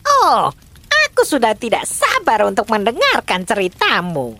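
A woman's voice: on the high side, wildly swooping pitch, bright, forceful tone, dry recording, faint music behind, exaggerated voice. A woman voicing a scheming, impatient old witch granny in a children's storybook, theatrical and menacing. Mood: eager, commanding. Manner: theatrical, drawn-out, suspicious and gloating. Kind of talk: children's storybook audio drama.

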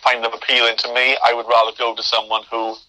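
Bright-toned young man who stammers. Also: mid-range voice, completely flat delivery, fast talker, rough recording. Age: young adult. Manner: stammers, with repeated starts and blocked words. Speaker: podcast guest. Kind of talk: interview conversation.

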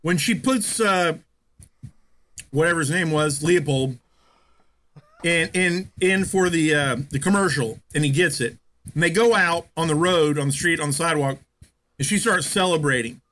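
A man co-hosting a film review chat, animated and jokey. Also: fairly high pitch, normal speed. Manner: animated, jokey, acts out scenes in mock voices. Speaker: podcast co-host reviewing a film. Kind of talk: casual chat.

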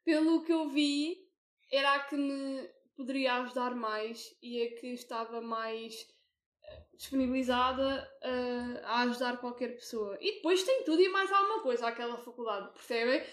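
A woman's voice: high voice, normal speed, fairly bright tone, echoey room. A young woman with a European Portuguese accent, co-hosting a casual podcast chat, hesitant and full of fillers and restarts. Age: young adult. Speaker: podcast co-host.

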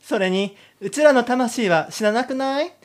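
A man's voice: extremely high pitch, measured pace. A man voicing a chatty young gal heroine with slangy, casual speech in an amateur radio drama. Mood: chatty, eager.

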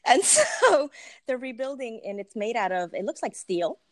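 A woman's voice: on the high side, very animated, normal speed, no echo. A woman hosting a podcast, chatting casually and bubbly over a remote call, with lots of laughter and fillers. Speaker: podcast host. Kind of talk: casual conversational chat. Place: staying in Puerto Rico, remote call.

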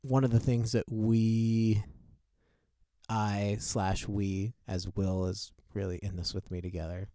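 A man co-hosts a casual podcast, his voice sitting a little low. He rambles with lots of fillers and restarts, recorded close and dry with no room sound. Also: flat delivery, slow pace, warm tone, no echo. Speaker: podcast co-host.

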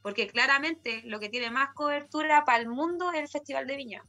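A woman's voice: high voice, a little fast, pushed and bright, soft background music. A woman with a Chilean accent, a guest singer chatting casually in slangy speech and tagging her sentences with a question. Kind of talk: casual conversation.

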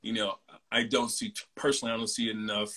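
A man in his mid-forties hosting a casual interview, talking in a relaxed, chatty way with plenty of fillers. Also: on the low side, a little fast. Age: 40s. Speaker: host.

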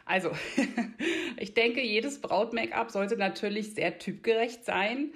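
A woman's voice: on the high side, slow pace. A middle-aged woman from the Berlin area of Germany, chatting at length in careful standard speech as an interview guest who works as a make-up artist. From Brandenburg near Berlin, Germany. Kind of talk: conversational interview answers.

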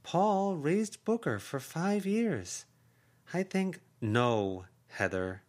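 A man's voice: fairly high pitch, sing-song, very slow, darkish tone, pitched above the performer's own voice, exaggerated voice. A man voicing a cheerful, effusive aunt who talks in an emphatic way. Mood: earnest. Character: cheerful gushing adult aunt, woman.

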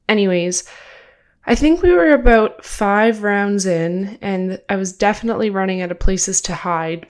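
A young woman with a stuffed-up, congested voice, hosting her own podcast in a chatty, upbeat way and laughing now and then. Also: medium pitch. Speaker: podcast host. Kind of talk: casual solo podcast talk.

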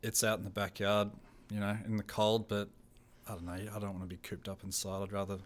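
A man's voice: on the low side, monotone, a little fast, a little hiss or hum. A middle-aged man with an Australian accent, a theater technical director chatting casually as a radio guest, laughing often and restarting his phrases.

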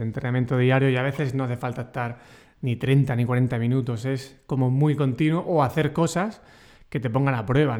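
Hombre español entrevistando, tono medio. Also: male voice, medium pitch. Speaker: podcast host, interviewer. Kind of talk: casual conversational interview.

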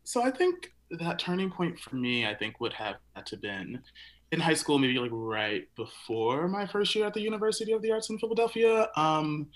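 A man's voice: a little high, very animated, moderate pace. A young adult man, a dancer, answering interview questions casually, with lots of likes and self-deprecating asides. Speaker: dancer, guest.

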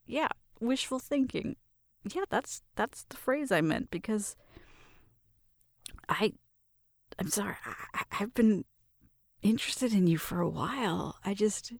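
Flustered, smitten young roommate, slightly creaky woman acting. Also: mid-range voice, lively intonation, measured pace, long pauses, dry recording, clean studio sound. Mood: flustered, hopeful. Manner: nervous, stammering, giggly, self-interrupting. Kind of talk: intimate roleplay audio addressed to the listener.

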